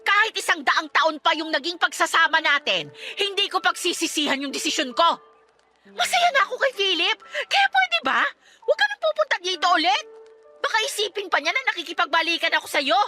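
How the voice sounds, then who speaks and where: A woman playing a defiant, sharp-tongued estranged wife and mother in a radio drama, sarcastic and combative. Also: very high voice, sing-song, brisk, soft background music, exaggerated voice. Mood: irritated, dismissive. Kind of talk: Tagalog radio drama acting.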